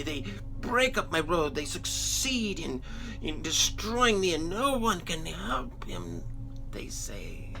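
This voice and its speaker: A performer voicing an anguished fallen patriarch in a dramatic scripture monologue, halting and theatrical, moving between breathy whispers and cries. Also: medium pitch, heavily acted. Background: music.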